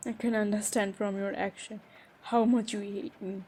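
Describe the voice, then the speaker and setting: A woman playing a hurt, defensive young woman in an emotional romantic roleplay, speaking haltingly with pauses. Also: medium pitch, little pitch movement, darkish tone, natural voice. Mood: angry, wounded. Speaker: audio roleplay performer. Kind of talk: improvised romantic roleplay drama. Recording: noisy background ambience.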